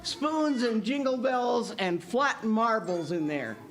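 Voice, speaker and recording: A woman's voice: a little high, expressive, slow, crowd noise. A woman performer at a live theater show, giving young volunteers simple, coaxing, step-by-step instructions. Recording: live room with audience noise.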